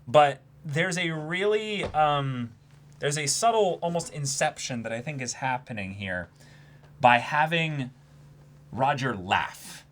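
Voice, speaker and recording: An animated man co-hosts a livestream in a very lively, swooping voice. He chats casually and reads out messages from viewers. When he thinks aloud his delivery gets halting. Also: on the high side. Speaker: livestream co-host.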